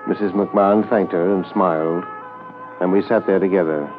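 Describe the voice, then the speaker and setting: A sober, sympathetic policeman voiced by a male actor tells his story in a lowish voice. He is measured and understated in 1950s radio drama style, on a thin old recording with music. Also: fairly even intonation, natural voice. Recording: narrow-band 1950s radio transcription.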